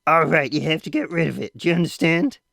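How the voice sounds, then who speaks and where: A man's voice: fairly high pitch, fast, creaky, bright, forceful tone, dry recording, studio quality, pitched above the performer's own voice. A man reading aloud voices a stern, wealthy father who questions his son firmly. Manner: firm, clipped and questioning.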